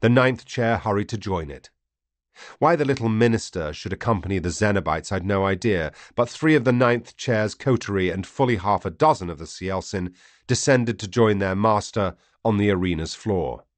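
Low-voiced man narrating a dramatic audiobook. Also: lively intonation, no room sound. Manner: grave, theatrical, deliberate. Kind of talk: dramatic first-person scripted narration.

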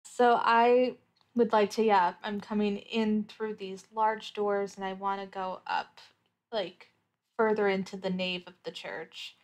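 A woman's voice: mid-range voice, little pitch movement, measured pace. Woman thinking aloud as tabletop player. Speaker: player in an actual play podcast. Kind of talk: casual improvised tabletop roleplay talk.

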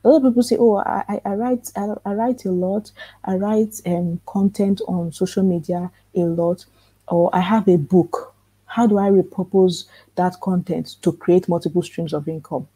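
Soft-spoken woman, calm, dark mellow tone, dry close sound, live workshop teacher. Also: on the low side, moderate pace, slightly rough, no room sound, low-quality recording. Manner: earnest, repetitive coaching, asks rhetorical questions. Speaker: workshop host teaching authors. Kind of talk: live online workshop lecture. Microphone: computer mic in a live webinar.

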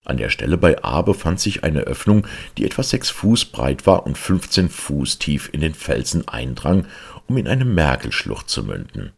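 A man with an extremely low voice narrates literary prose. His tone is husky and full of creak, and he reads in a measured, deliberate way. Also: sing-song. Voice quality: gravelly, husky. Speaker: audiobook narrator. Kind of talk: literary audiobook reading, scripted narration.

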